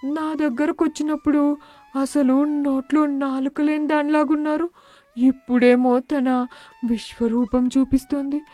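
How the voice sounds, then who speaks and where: Woman playing a high-voiced naive young bride. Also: flat delivery, measured pace, mellow, no room sound, faint music behind. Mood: frightened. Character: young new bride, naive, anxious, easily dismayed. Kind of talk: animated moral story dialogue.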